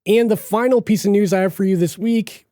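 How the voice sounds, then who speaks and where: Young male podcast host, slight Boston accent, casual rambling delivery, fairly high mellow voice, dry recording. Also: steady tone, brisk, no echo. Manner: chatty, rambling, self-correcting. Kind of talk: casual solo podcast monologue.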